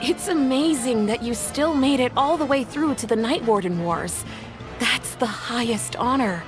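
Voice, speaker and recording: Friendly young female teammate, voiced by an actress, fairly high voice, game dialogue over loud music. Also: unhurried, lightly acted. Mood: admiring. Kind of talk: video game voice acting.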